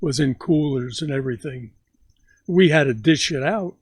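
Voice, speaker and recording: Brief: elderly man, 90s, slightly high voice, very slow, halting delivery, dry close sound. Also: slightly rough, no echo. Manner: slow, halting, repeats words as he starts sentences. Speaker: grandfather telling stories. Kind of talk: casual storytelling interview.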